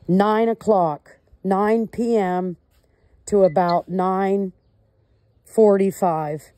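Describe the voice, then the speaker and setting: Slow, deliberate woman caller with a mellow voice. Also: medium pitch, no echo. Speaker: caller reporting to law enforcement. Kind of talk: recorded phone conversation, recounting events. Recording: recorded call.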